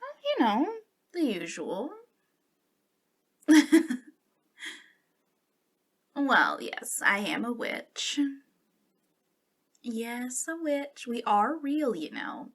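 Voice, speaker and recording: A friendly young witch who runs a little shop, played by a woman in an ASMR roleplay. She speaks softly and unhurriedly in a fairly high voice that often drops into vocal fry. Her manner is eager but awkward, hesitant and a little giggly. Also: sing-song, very slow, pauses often, heavily acted. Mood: teasing, coy.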